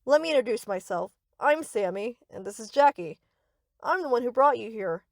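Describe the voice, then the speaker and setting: A woman's voice: fairly high pitch, expressive, moderate pace, dry recording, clean studio sound. A woman playing a sweet, reassuring but possessive young yandere best friend in an anime-style audio roleplay, coaxing and doting.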